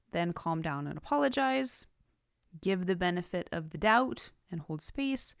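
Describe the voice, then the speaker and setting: A woman with a slightly low voice delivers scripted instructional narration as a podcast host. Her manner is measured and orderly, laying out points one by one. The recording is close and dry, with very little room sound. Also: a bit bright, no room sound. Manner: measured, enumerating points clearly. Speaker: podcast host giving writing tips.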